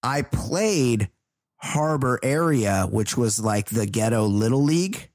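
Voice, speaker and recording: A man from Costa Mesa, co-hosting a comedy podcast in casual banter, wisecracking and trailing off quietly. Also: medium pitch, lively intonation, slow, no room sound, studio quality. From Costa Mesa, California. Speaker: podcast co-host.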